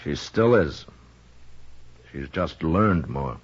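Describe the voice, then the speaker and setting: An old muffled radio western recording of a frontier marshal with a low, husky American voice. He is laconic and steady, with a dry, unhurried delivery. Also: heavily acted. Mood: dry, calm. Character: laconic, steady Old West town marshal, firm and calm. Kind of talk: 1950s radio western acting.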